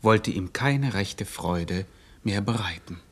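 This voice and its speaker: A man narrating a satirical radio play, scripted and deadpan, with a mock-official manner. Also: lowish voice, takes it slowly, breathy edge.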